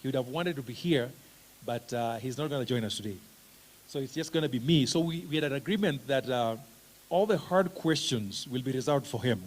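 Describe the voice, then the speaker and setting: A middle-aged Kenyan man with a Kenyan accent giving a relaxed, joking conference talk as a professor of special education, with plenty of "uh" fillers. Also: mid-range voice, brisk. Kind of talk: conference talk, self-introduction. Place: conference hall.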